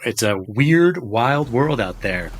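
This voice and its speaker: A middle-aged man hosts a podcast conversation in an earnest, reflective tone. His voice sits at an ordinary pitch and he talks casually with his guest. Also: lively intonation, slow, background noise. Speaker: podcast host.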